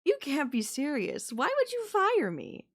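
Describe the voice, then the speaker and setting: A woman's voice: high voice, wildly swooping pitch, measured pace, exaggerated voice. A woman playing a manipulative young babysitter who is obsessively in love with her employer, speaking in a conversational, possessive and defensive way in an intimate audio roleplay. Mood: desperate, indignant. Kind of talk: anime-style audio roleplay acting, intimate one-to-one.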